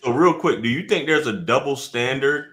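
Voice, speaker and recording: Bright, forceful American male host. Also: mid-range voice, moderate pace, soft background music. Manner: animated, slangy, punchy rhetorical questions. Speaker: talk show host. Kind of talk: casual live chat.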